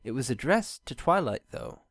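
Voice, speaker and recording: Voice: medium pitch, very animated, normal speed, no echo, clean studio sound. A narrator reading a scripted fan fiction story aloud.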